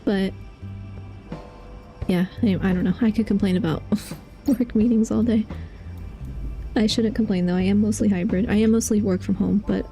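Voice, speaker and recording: Mellow-voiced woman chatting while gaming. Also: mid-range voice, steady tone, normal speed, pauses often, faint music behind, low-quality recording. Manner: chatty and confiding, laughs often. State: losing her voice. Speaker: streamer. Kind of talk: casual chat while playing a game.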